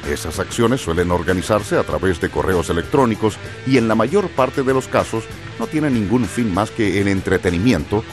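A man's voice: lowish voice, lively intonation, normal speed, few pauses. A man reading scripted educational narration for radio. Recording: music bed under narration.

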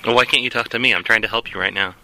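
A man making a prank phone call as a hotel worker, deadpan and mock-helpful, sometimes turning indignant. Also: lowish voice, very fast, gravelly. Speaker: prank caller posing as hotel housekeeping. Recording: noisy phone line.

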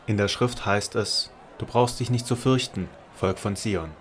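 A man narrating a scripted Bible audio drama in a measured, solemn storytelling manner. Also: fairly low pitch, a little hiss or hum.

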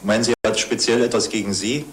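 A man interviews someone in an echoey space, putting short questions at a quick pace. His voice is on the low side. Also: steady tone. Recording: echoey, slightly noisy film soundtrack.

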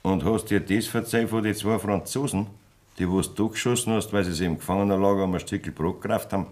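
An actor playing a boastful, vindictive middle-aged Bavarian farmer in a radio drama, speaking coarse, earthy dialect. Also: male voice, on the low side, fairly even intonation, quick pace, darkish tone, lightly acted. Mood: needling.

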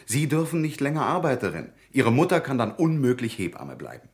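An actor playing an earnest, troubled young printer and devoted friend in a German radio drama, grave and measured. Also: male voice, mid-range voice, very animated. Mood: pleading.

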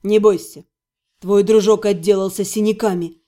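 A woman narrator voices a young necromancer, a betrayed fiancé in a fantasy audiobook. His voice sits low and mellow. He speaks with cold restraint, deliberate and full of bitter reproach. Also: fairly even intonation, lightly acted. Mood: bitter, resolute. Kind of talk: dramatized audiobook dialogue.

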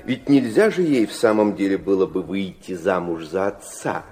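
An actor playing a worldly middle-aged gentleman father in a radio drama, speaking with mocking, theatrical irony. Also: low-pitched, moderate pace, close to an everyday voice. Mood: amused, ironic. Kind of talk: Russian radio drama acting.

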